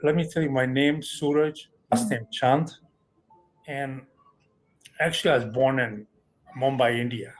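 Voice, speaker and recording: A man who grew up in Afghanistan, a filmmaker recalling his childhood in a measured way, often restarting phrases. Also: medium pitch, fairly even intonation, faint music behind, poor audio. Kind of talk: personal recollection.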